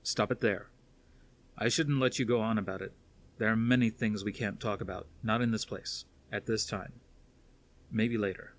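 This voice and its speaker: A man voicing a steady, fatherly older military commander in a science fiction story reading. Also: on the low side, normal speed, close to an everyday voice. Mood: measured, concerned.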